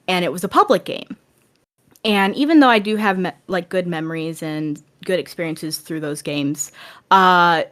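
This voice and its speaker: A woman talking casually as a podcast guest, animated and often restarting as she works out her thoughts. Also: lowish voice.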